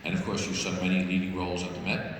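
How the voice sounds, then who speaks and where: A man with a lowish voice moderates a panel in an opera house. He stumbles and restarts his questions. The sound is distant, with a long hall reverb and steady room noise. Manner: halting, with many restarts. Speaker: host and moderator. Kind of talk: onstage post-performance interview. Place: large opera house auditorium. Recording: echoey hall from far off with noise.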